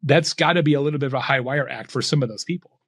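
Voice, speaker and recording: A man's voice: mid-range voice, fast talker, fairly bright tone, husky, no room sound. A man hosting a podcast interview, asking thoughtful questions and affirming his guest. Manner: thoughtful, affirming, occasional restarts. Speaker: podcast host.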